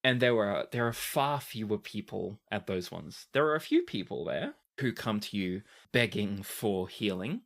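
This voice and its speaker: A man acting as game master, narrating a tabletop roleplaying game and explaining it deliberately. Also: on the low side, sing-song, gravelly, a bit bright.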